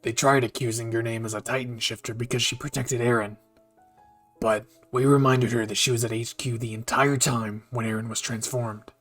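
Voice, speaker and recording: A man's voice: mid-range voice, fairly even intonation, normal speed, a bit bright, soft background music, natural voice. A man voicing a stern, blunt male captain who speaks curtly and dryly. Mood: flat. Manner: curt and dry.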